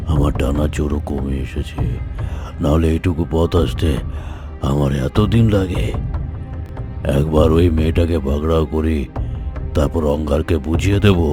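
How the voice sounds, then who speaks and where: A man voicing a menacing, domineering monster villain in a fairy-tale cartoon, drawing out gloating threats. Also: extremely low pitch.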